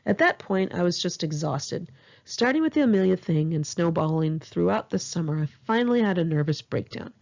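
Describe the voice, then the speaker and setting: Low-pitched woman, Californian accent, husky, creaky, expressive memoir narration, slightly dull recording. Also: brisk. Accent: Californian American. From Los Angeles area, California, United States. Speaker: author reading own memoir. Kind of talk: narrated memoir audiobook, one-take home reading.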